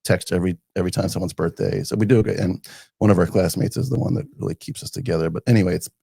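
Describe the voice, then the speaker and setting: Brief: fifties man, very low, gravelly and husky, rambling interview guest, dry close sound. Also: fast, mellow, no room sound. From northern New Jersey, United States. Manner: rambling, with many fillers and restarts. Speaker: guest, community foundation director. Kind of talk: casual conversational storytelling.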